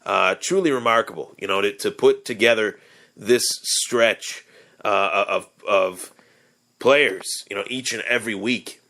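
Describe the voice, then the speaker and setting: Slightly hoarse young man, rambling. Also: fairly low pitch, a little slow, bright, forceful tone. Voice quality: raspy. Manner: rambling, with many fillers and restarts. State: hoarse from yelling. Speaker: podcast host. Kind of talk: casual solo sports podcast.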